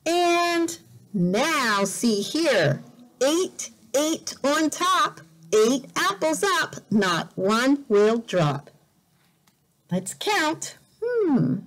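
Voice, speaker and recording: A woman teacher reading a picture book aloud as a lesson for young learners, deliberate and expressive, repeating words carefully. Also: high-pitched. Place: indoor room, at a desk.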